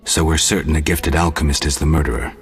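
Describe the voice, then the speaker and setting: A stoic monster-hunting man from a fantasy game. His voice sits extremely low and gruff. He speaks in terse, deadpan, sardonic lines, with music underneath. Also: quick pace, full character voice. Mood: analytical, deadpan. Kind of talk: video game voice acting.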